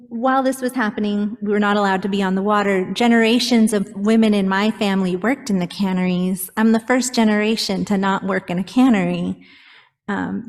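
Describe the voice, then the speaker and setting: A woman's voice: mid-range voice, darkish tone. A woman poet giving a thoughtful panel talk, with wry asides and self-corrections. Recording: long hall reverb.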